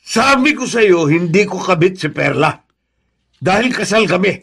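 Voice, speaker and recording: A middle-aged Filipino father in a radio drama, protective and firm. His voice is fairly high and swings widely in pitch as he makes his points emphatically. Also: animated, normal speed, creaky, a bit bright, husky, heavily acted. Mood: exasperated, stern.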